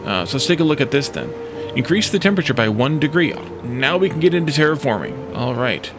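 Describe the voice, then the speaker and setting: A man's voice: medium pitch, very animated, quick pace. A man giving casual gameplay commentary, thinking aloud and musing his way through problems as a let's play host. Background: background music.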